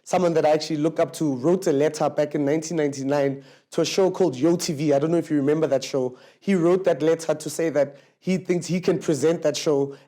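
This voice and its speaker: A South African man around thirty speaks fluently and confidently about himself. His voice is somewhat high but warm and mellow, and his tone stays relaxed and conversational. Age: 30s. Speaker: mayoral candidate.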